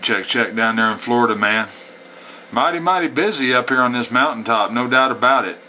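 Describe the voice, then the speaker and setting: A man's voice: mid-range voice, a little fast, music in the background, low-quality recording. A man operating a CB radio, speaking in a folksy way with CB slang, between radio calls and casual explanation. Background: CB radio static and chatter.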